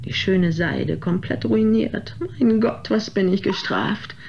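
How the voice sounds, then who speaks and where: An actress playing a vain, theatrical aging film star who complains haughtily and melodramatically in a radio play. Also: female voice, medium pitch, animated, takes it slowly, gravelly. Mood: indignant. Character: vain, theatrical, aging former film diva, easily outraged. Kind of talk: German radio drama acting. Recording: dull, noisy sound with ambience.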